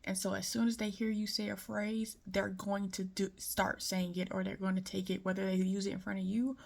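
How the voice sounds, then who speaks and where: A woman from Detroit with a light Southern accent speaks in a casual, opinionated monologue. Her delivery stays fairly level in pitch, with a gravelly fry under many words. She sounds frank and conversational. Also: little pitch movement, a little fast, darkish tone. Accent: light Southern American. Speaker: commentator.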